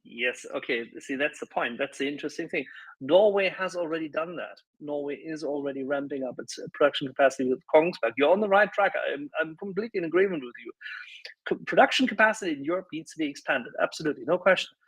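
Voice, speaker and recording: A man's voice: on the high side, expressive, fast, a bit bright, slightly rough, low-quality recording. A man on a live audio panel arguing politics emphatically, insistent and repeating words for emphasis.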